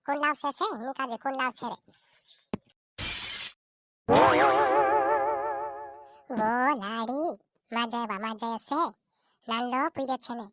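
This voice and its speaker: A woman's voice: a little high, moderate pace, fairly bright tone, no room sound, natural voice. A woman playing a bickering village relative in cartoon comedy, repeating herself as she scolds.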